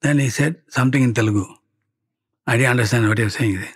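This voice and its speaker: A man's voice: medium pitch, fast talker, husky. An older man in his seventies with a Malaysian accent, telling personal stories conversationally, acting out dialogue and checking in with the listener. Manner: animated retelling with quoted dialogue and tag questions. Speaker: devotee giving a spiritual testimony. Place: indoor studio set, seated before wood-panelled wall.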